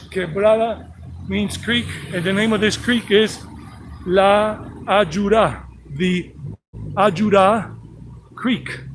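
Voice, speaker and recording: A man's voice: sits high, slight background noise, low-quality recording. A middle-aged man, a native English speaker, chatting in a rambling, repetitive way as he live streams while walking outdoors beside a creek. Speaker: vlogger. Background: flowing creek.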